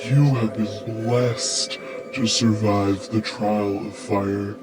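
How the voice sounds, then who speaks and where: Slow, menacing cult herald voiced by a man. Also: fairly low pitch, level tone, over loud music. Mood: solemn menace. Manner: solemn, ominous, ceremonial. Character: menacing cult messenger delivering a solemn ultimatum. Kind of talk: audio drama message.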